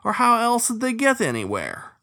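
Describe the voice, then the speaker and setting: A man voicing a cheerful, loud male beaver deckhand with a grinning, bellowing manner. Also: very high voice, huge pitch swings, moderate pace, dry recording, clean studio sound, pitched above the performer's own voice, full character voice.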